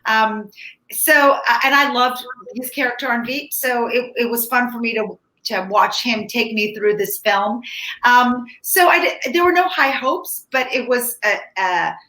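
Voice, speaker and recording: A woman with a fairly high, bright voice talks through a movie review in a casual, conversational style. Her sound is clearly roomy, with echo around the words. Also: unhurried. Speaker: film critic co-hosting a movie review. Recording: echoey, reverberant room.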